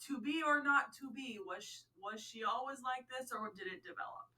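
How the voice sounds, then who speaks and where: A woman co-hosting a casual podcast chat, hoarse from a blown-out voice and half whispering, quick to push back. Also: high-pitched, bright, forceful tone, poor audio. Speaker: podcast co-host.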